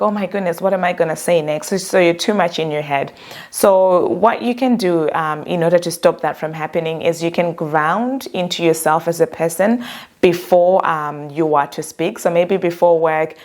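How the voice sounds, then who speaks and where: A woman career coach who gives direct, earnest advice about workplace communication and calls her own voice monotone. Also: lowish voice. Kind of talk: motivational talk to camera.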